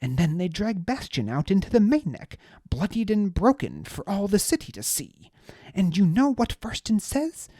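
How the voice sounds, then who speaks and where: A narrator voicing a pompous, petulant man who is easily outraged and talks in shrill, indignant bursts. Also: sing-song, moderate pace, mellow, no room sound, clean studio sound. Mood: ranting.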